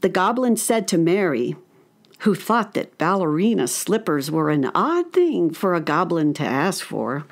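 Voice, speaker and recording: A woman's voice: lowish voice, big pitch swings, measured pace. A woman narrating a scripted story for children.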